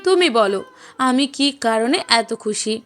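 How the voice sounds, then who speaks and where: A woman voices a caring young wife in a cartoon family drama. Her voice is high and sweet, with a coaxing, emotive delivery, over a music bed. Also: lively intonation, slow. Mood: happy. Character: loving young wife, expectant mother, caring and anxious. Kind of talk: cartoon dialogue acting.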